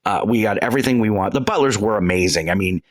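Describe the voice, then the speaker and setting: A man co-hosting a casual podcast, telling travel stories in an animated way with lots of restarts and laughter. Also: fairly low pitch, quick pace. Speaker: podcast co-host.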